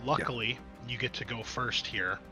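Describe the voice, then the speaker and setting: Bright-voiced male game master over music. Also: medium pitch, steady tone, moderate pace. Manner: conversational, improvised explaining mixed with dramatic scene description. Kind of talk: narrating a tabletop roleplaying game.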